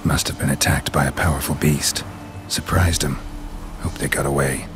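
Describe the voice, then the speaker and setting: A man voicing a stoic, blunt, world-weary monster hunter in a video game, terse and deadpan with a gravelly voice. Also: extremely low pitch, normal speed, noisy background. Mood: musing. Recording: loud music bed.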